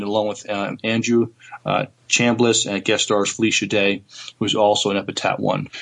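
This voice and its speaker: A man with a fairly low voice co-hosts a podcast. He speaks casually and haltingly, hesitating as he works through news items and opinions. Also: vocal fry, fairly bright tone. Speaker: podcast co-host. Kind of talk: casual news reading and discussion.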